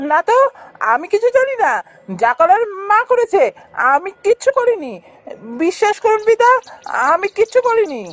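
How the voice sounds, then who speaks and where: A woman's voice: extremely high pitch, sing-song, normal speed, pauses often. A woman voicing a haughty, cowardly young prince in a fairytale cartoon. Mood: pleading. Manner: imperious, turning to whining excuses.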